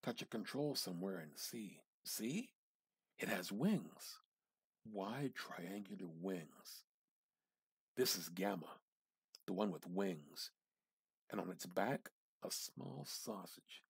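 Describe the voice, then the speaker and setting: A man giving an amateur dramatic reading of literary fiction, theatrical and halting, with pauses and emphatic repetition. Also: low voice, animated, creaky, slightly rough, no room sound, clean studio sound. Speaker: amateur reader.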